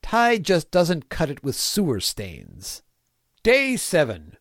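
A man's voice: a little high, huge pitch swings, slow, a bit bright, dry recording, studio quality, full character voice. A man voicing a cheerfully villainous, germ-hating small-town mayor, reading his diary in a folksy, prim, clipped way for a comic fan-fiction audio. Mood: matter-of-fact scheming. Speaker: fan audio drama voice actor.

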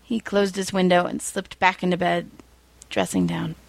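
A woman reading fan fiction aloud as an expressive storyteller, with a wry, informal manner. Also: fairly low pitch, moderate pace, gravelly, no room sound.

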